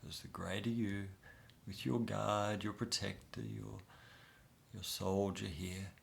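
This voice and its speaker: A man guiding a soft, soothing inner-child meditation with long pauses. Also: on the low side, flat delivery, vocal fry. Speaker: meditation guide.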